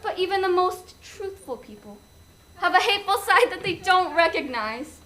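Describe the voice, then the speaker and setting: A woman's voice: extremely high pitch, lively intonation, normal speed, pushed and bright, heavily acted. A young woman acting in a stage play as the sly, coaxing inner voice of another young woman. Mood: taunting, cool. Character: sly, insistent inner voice, a young woman's hidden other self.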